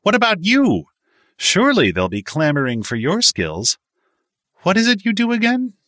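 A man voicing a haughty, spoiled young upper-class gentleman in an audiobook, affected and condescending. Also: mid-range voice, sing-song, moderate pace, husky, no echo, clean studio sound, voice pushed up, exaggerated voice. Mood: smug, needling.

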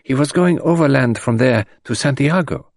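A man's voice: medium pitch, expressive, moderate pace, a little mellow, dry recording, voice pushed up. A man voicing a dignified, grieving middle-aged French widow who speaks in a composed, formal, restrained way. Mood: earnest.

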